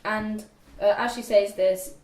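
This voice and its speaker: A woman's voice: medium pitch, steady tone, unhurried, darkish tone. A young woman reading out screenplay stage directions as scripted narration. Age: young adult. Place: indoor room at a group read-through. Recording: echoey room, distant mic, faint noise.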